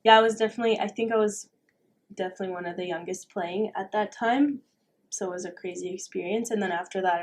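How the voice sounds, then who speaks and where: A young woman from Romania, a university tennis player, talking casually as a podcast guest with frequent fillers and light laughs. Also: fairly low pitch. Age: young adult. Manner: chatty, laughs often, many fillers. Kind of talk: casual interview.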